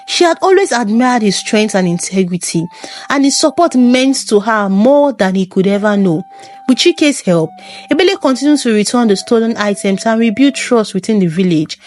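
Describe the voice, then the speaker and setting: Female narrator, scripted folktale storytelling, lively varied intonation. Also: medium pitch.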